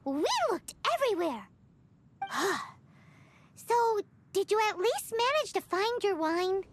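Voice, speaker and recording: A woman voicing a tiny, cheeky, excitable fairy girl in a video game, with a squeaky childish voice and exaggerated delivery. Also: takes it slowly, full character voice. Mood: indignant, sassy. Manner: speaks of herself in third person, indignant, exaggerated. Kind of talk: video game cartoon acting.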